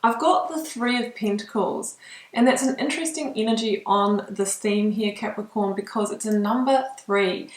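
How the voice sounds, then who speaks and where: A woman's voice: mid-range voice, normal speed. New Zealand woman reading tarot, echoey room. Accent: mixed New Zealand, with British and Australian traces. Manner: soft, reflective, with frequent ums. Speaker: tarot reader. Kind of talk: intuitive tarot reading to camera. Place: small home studio in a spare room.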